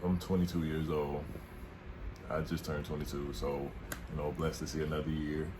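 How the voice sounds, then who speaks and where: A man's voice: rumbling low, little pitch movement, moderate pace. A man, chatting casually and goofily on a couple's vlog. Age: 20s. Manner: laid-back, goofy, hypes himself up. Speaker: vlogger. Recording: noisy room.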